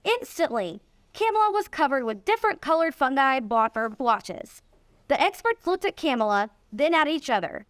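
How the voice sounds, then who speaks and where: High-voiced woman reading a storybook. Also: sing-song, moderate pace, no echo. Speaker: volunteer reader, nonprofit executive. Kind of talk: read-aloud of a children's storybook.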